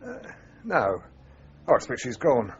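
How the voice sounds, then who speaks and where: A man's voice: mid-range voice, wildly swooping pitch, a little slow. A man in a radio drama playing a chatty, grumbling, dutiful office sergeant. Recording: dull, noisy radio drama recording.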